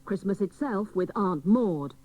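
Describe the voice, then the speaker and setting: Deadpan comic private detective. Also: female voice, mid-range voice, unhurried. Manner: deadpan, mock-dramatic. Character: dotty female private detective, mock hard-boiled. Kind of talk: comic radio drama first-person narration.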